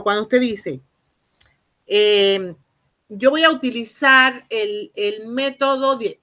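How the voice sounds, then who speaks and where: A woman's voice: mid-range voice, fairly bright tone. Hesitant woman lecturing on teaching. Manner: halting, many "eh" fillers and restarts; explanatory, addresses listeners formally. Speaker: university lecturer. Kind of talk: spoken lecture.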